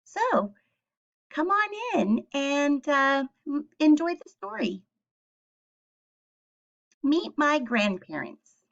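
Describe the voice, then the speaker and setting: A woman teacher reads aloud to young children in a fairly high voice with very animated, swooping intonation. She explains things simply and puts questions to her listeners. The recording is a little dull and lo-fi. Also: drawn-out words, vocal fry, long pauses. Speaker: teacher reading a picture book aloud.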